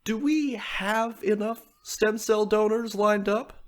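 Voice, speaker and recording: A man's voice: sits high, slow. An actor playing an affable, chatty man who works in health science, with an informal, chuckling manner. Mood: chatty, slightly uneasy. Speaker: actor in an audio drama. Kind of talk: naturalistic audio drama acting.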